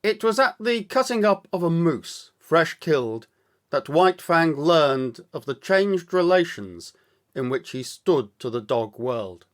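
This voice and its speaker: A man reading a story aloud as scripted narration, with measured, deliberate, dramatic phrasing. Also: a little high. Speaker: audiobook storyteller, actor.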